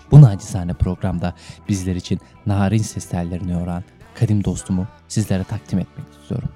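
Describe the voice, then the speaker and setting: A man with a low, soft-edged, mellow voice hosts a podcast. He banters in a mock-formal, tongue-in-cheek way, with faint music under his voice. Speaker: podcast host. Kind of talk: playful banter with a mock-formal radio introduction.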